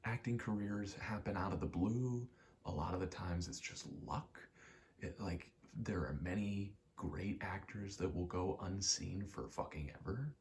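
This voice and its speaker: A man's voice: sits low, a little slow, a little mellow, slight background noise. A young American man in his twenties rambles in a casual, candid ASMR chat, swearing now and then. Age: 20s. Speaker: ASMR rambler and aspiring actor.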